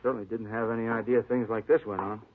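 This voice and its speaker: A fussy, officious male store manager played by a radio actor, his voice on the low side. He stresses and repeats his points, heard through the very narrow, tinny sound of an old radio drama. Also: little pitch movement, fast, a little hiss or hum, lightly acted. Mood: disapproving, indignant. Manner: officious, emphatic, repeats himself. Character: fussy, disapproving male store boss, talkative with police.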